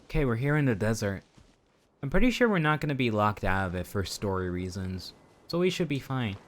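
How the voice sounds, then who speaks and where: A man's voice: on the low side, very animated, brisk. A man doing casual, rambling live commentary on a video game, playfully chatting to his viewers, with game music behind him. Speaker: game streamer.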